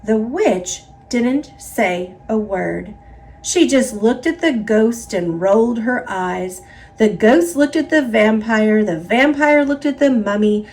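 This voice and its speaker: Very slow, animated American woman storyteller. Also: a little high. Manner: expressive, emphatic, repetitive build-ups. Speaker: storybook narrator. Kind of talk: read-aloud children's story narration.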